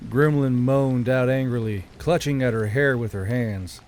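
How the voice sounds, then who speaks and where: A man's voice: medium pitch, measured pace, a little mellow, slight background noise. A man reading scripted fiction as an audiobook narrator. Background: background music.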